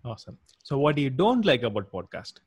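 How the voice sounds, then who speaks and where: A man's voice: medium pitch, sing-song, fast talker, husky, dry recording. A young man hosting a podcast casually, chatty, with many fillers and frequent affirmations. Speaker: podcast host. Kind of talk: casual interview.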